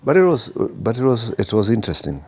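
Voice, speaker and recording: A middle-aged man from Kenya with a Kenyan accent, guest on an interview podcast, telling anecdotes slowly with many fillers and restarts. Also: lowish voice, very animated, creaky, crowd noise. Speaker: guest executive.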